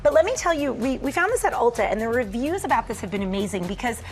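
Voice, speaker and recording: Chatty lifestyle tv lady, highish voice, showing products, soft music under. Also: animated, quick pace. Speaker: lifestyle contributor presenting products.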